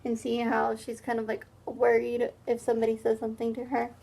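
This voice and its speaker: A woman reading a picture book aloud as a teacher, pausing for halting, simple explanatory asides. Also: a little high, monotone, creaky, warm tone, slightly rough, poor audio.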